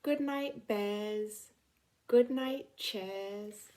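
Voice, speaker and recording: A woman's voice: mid-range voice, drawn-out words, warm tone. A middle-aged woman reading a picture book aloud to children, talking simply and directly to her young listeners. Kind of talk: read-aloud storytime for children.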